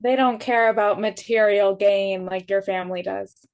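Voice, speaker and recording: A woman's voice: medium pitch, steady tone, normal speed, a little mellow, natural voice. A woman reading the part of a guarded, principled sixteen-year-old emo shop worker, blunt and dry, in a drama table read. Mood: defensive.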